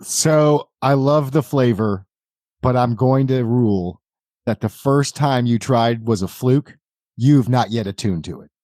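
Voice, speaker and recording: A man's voice: medium pitch, a little slow, darkish tone, no echo. A man acting as game master, giving rulings and improvised narration in a casual conversational way.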